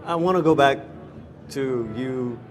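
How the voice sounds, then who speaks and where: A man hosting a casual festival interview, upbeat and chatty, firing off quick questions. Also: mid-range voice, slight background noise. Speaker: interviewer host.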